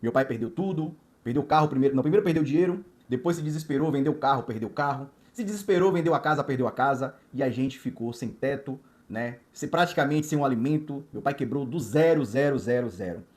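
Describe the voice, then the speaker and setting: A man's voice: mid-range voice, fast, few pauses, darkish tone. A man, a watch distributor telling his life story in an emphatic, motivational way, repeating words in lists and adding frequent tag questions. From Salvador, Bahia, Brazil.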